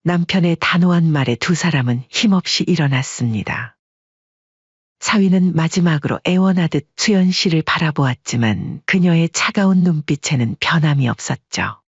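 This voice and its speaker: Measured woman storyteller, husky voice, dry muffled read. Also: sits low, animated, no echo. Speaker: narrator. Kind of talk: scripted storytelling narration.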